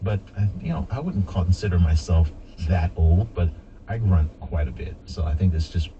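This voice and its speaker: Guy with a really low voice just chatting, lots of um and you know, kinda muffled radio with hiss. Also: normal speed. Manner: relaxed, rambling, frequent fillers. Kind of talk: casual chat.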